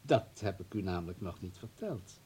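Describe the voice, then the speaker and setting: Radio drama role: officious informer, dark husky voice, coldly polite, measured, insinuating. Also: male voice, low voice, expressive, exaggerated voice. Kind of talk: radio play acting.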